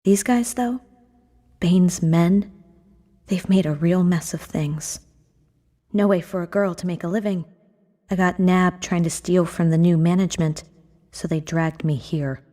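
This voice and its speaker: Woman as cool, low-voiced cynical thief. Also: fairly even intonation, measured pace, pauses often, warm tone, natural voice. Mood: wry, dismissive. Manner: cool, sardonic. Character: cynical, self-interested young female cat burglar. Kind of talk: video game cutscene acting. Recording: noisy game audio, rumbling background.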